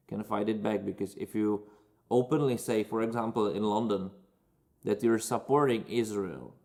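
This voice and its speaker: A man's voice: on the low side, a little mellow. A man from Czechia with a Czech accent, hosting a casual Q&A and giving strong opinions in loose, non-native English with frequent restarts. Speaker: channel host answering viewer questions.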